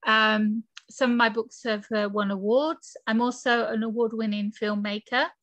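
British lady in her 40s, guest chatting from her bedroom, crisp bright voice, lots of ums. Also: on the high side, level tone, moderate pace, no room sound. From United Kingdom. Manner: chatty, laughs often, frequent fillers. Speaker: guest author and podcaster. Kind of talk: casual interview chat. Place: bedroom home office.

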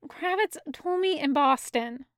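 A woman voicing a gentle, concerned man in an audio story, speaking carefully and with some hesitation. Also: high voice, animated, measured pace, dry recording, studio quality. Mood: careful, placating.

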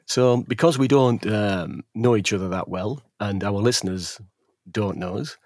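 A man hosts a relaxed podcast conversation, pausing often with fillers as he goes. His voice sits fairly low, and the sound is dry and close with little room to it. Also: no echo. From England. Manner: relaxed, hesitant, with frequent fillers. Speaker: podcast host. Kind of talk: casual chat. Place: at home near some furniture.

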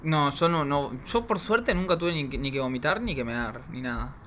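A man's voice: fairly high pitch, expressive, moderate pace, fairly bright tone. A man with an Argentine Spanish accent, a drag queen guest chatting casually in campy, gushing slang. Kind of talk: casual chat.

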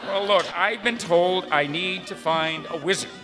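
A man's voice: high voice, a little slow, in a large hall, music in the background. An actor playing a polite, bewildered everyman customer who stammers and hesitates in an audio comedy. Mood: bewildered, hesitant. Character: flustered, hesitant everyman customer seeking a wizard. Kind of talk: live audio comedy acting.

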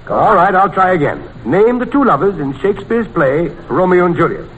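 An excitable, formally polite quizmaster in a 1940s radio comedy. His high voice swings widely as he repeats himself, flustered. The old recording is noisy. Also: fairly quick, highs cut off. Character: exasperated, excitable straight-man quizmaster.

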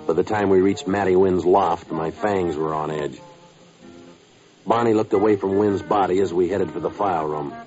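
A man acting a tough, weary jazzman in an American radio crime drama, talking in a clipped, deadpan way. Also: sits low, moderate pace, soft background music, natural voice. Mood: grim, terse. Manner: clipped, deadpan, hard-boiled. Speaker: lead actor and narrator of a radio drama. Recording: noisy, muffled old radio recording.

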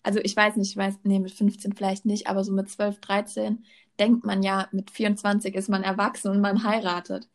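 A woman's voice: mid-range voice, flat delivery, brisk. A woman in her twenties, a student, chatting casually; she rambles and corrects herself as she goes. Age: 20s. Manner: rambling, self-correcting, laughs often. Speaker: student, co-host. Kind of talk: casual chat.

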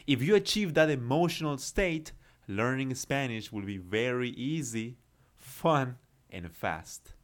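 A man hosting a podcast lesson, reading each line in Spanish and then in English, slow, earnest and encouraging. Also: medium pitch, expressive. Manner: slow, earnest and encouraging, with emphatic numbered tips. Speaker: language teacher and podcast host.

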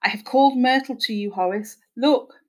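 A woman's voice: on the high side, normal speed, close to an everyday voice. A woman voicing a scheming female fake medium who feigns sympathy, pleading melodramatically as if in a trance.

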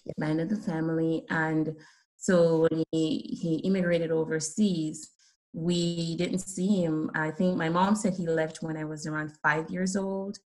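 A middle-aged woman from Jamaica with a Jamaican accent, telling her life story earnestly as an interview guest, with soft laughs and many ums. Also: low-pitched, little pitch movement, measured pace, rough recording. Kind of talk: personal testimony in an interview.

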